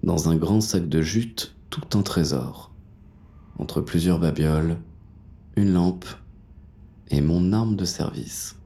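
A man narrating audio fiction as a battered, exhausted, haunted male survivor, in a very deep, sometimes breathy voice with slow, halting, dramatic fragments. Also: mellow, full character voice. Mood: tense, determined.

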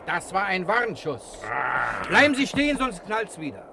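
An actor playing a cunning con man posing as a detective in an old German radio crime drama, smooth-talking, mocking and persuasive. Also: high voice, big pitch swings, a little slow. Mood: mocking, commanding. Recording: faintly noisy old radio drama recording.

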